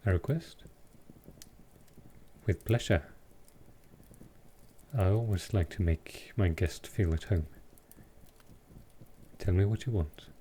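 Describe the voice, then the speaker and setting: A man playing a gentle, courteous centuries-old vampire in an intimate roleplay audio, soft-spoken and reassuring, with a calming, unhurried delivery. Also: sits low, a little hiss or hum. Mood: soothing, warm.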